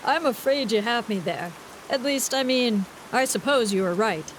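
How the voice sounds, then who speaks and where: A woman's voice: on the high side, lively intonation, moderate pace, a little hiss or hum, close to an everyday voice, rough recording. A woman voicing a good-humored young railroad magnate in a storybook, speaking genially with mild amusement. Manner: genial, amused, a bit vague. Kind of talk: audiobook reading.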